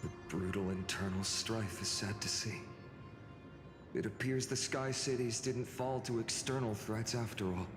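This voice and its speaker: A man voicing a calm, reflective adventurer in a fantasy video game, speaking in a measured, solemn way. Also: on the low side, close to an everyday voice. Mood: somber, reflective. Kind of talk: scripted video game voice acting. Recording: echoey reverberant hall, music behind.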